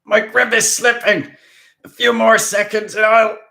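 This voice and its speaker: A man reading aloud, voicing a desperate male vampire warrior who shouts urgently while straining to hold on. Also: on the high side, a little slow, voice pushed up, close to an everyday voice.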